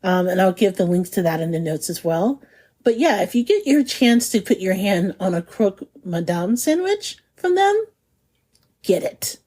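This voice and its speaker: A woman's voice: medium pitch, very animated, normal speed, warm tone. Bubbly, laughing woman podcast host. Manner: bubbly, laughs often, chatty. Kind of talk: casual food podcast chat.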